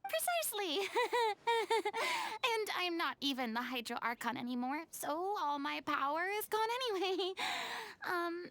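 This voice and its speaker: A melodramatic young former goddess in a fantasy video game. Her voice is very high and swings widely as she speaks with grand, smug flourish. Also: sing-song, unhurried, sharp tone, no room sound, heavily acted. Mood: smugly theatrical. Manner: grandiose, melodramatic, flowery speech. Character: theatrical, smug young woman, a former water deity.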